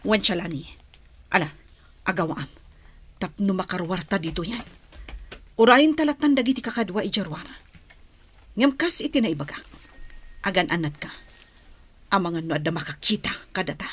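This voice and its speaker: A woman's voice: on the low side, expressive, moderate pace, pauses often, slight background noise, natural voice. A woman playing an earnest, emotive mature woman, a motherly elder figure, in an Ilocano radio drama. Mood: urgent, instructing.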